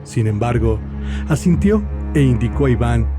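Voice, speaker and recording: A man's voice: mid-range voice, expressive, normal speed. A man giving a dramatic scripted narration as an audiobook narrator. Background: music.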